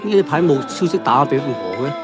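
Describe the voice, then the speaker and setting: A man's voice: a little high, sing-song, normal speed, music in the background. A man acting a trusting, reactive company boss in a radio drama. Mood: worried. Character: trusting company boss, agreeable, easily alarmed. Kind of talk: amateur Cantonese radio drama dialogue.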